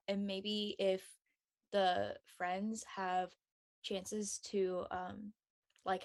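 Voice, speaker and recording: A woman speaking casually as a cast member in a panel discussion. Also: medium pitch.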